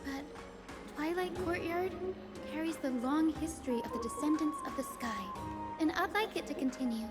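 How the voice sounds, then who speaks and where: A woman playing a gentle, nurturing young lady in a video game, with music behind her. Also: high-pitched, normal speed, natural voice.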